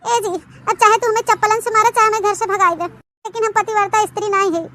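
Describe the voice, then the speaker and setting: A woman's voice: very high voice, fairly even intonation, fairly quick, pushed and bright. A woman voicing an anxious, plaintive village mother-in-law in a comedy cartoon, speaking wordily with an Awadhi accent. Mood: pleading.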